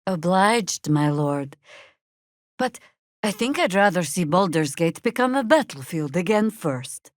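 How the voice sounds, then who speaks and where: An actress playing a seasoned, sardonic older female druid warrior in a fantasy video game, wry, commanding and deliberate. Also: lowish voice, expressive, no echo, clean studio sound.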